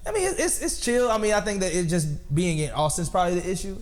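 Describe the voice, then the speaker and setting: A man's voice: high-pitched, sing-song, quick pace, background noise. A young American man hosting a casual podcast, talking in a laid-back, slangy and teasing way. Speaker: podcast host, DJ. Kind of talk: casual chat.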